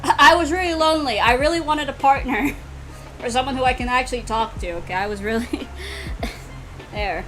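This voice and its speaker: A giggly, animated woman chatting casually and in slang on a livestream while she plays a game, poking fun at herself. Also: high-pitched, fairly quick, low-quality recording. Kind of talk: casual livestream chat while playing a visual novel. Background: music.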